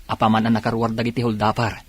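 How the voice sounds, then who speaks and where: A man playing a brisk, matter-of-fact police officer in a radio drama. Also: fairly low pitch, completely flat delivery, husky, no echo. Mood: urgent. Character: steady male police officer. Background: drama music.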